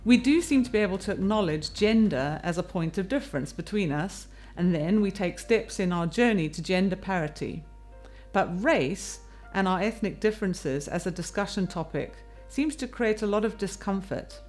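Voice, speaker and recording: A woman who is a partner at a firm, speaking earnestly and in a measured way in a corporate testimonial. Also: mid-range voice, expressive, a little mellow, faint music behind. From England.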